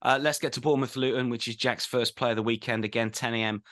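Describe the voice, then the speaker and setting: A man hosting a betting podcast, throwing quick questions to his co-hosts in casual chat. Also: medium pitch, fairly even intonation, fairly bright tone. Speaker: podcast host.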